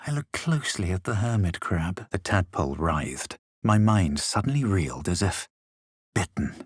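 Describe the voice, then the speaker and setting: An actor playing a brooding male fantasy adventurer, narrating his visions for a video game in a measured, solemn way with a low, husky voice. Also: big pitch swings, dry recording, clean studio sound, full character voice. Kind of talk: dramatic first-person game narration.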